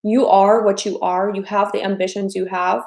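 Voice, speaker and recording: A woman's voice: mid-range voice, little pitch movement, moderate pace, creaky, a little mellow, echoey room. A chatty woman in her thirties from the American Midwest, hosting a casual live stream as a dating coach and often repeating her phrases. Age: 30s.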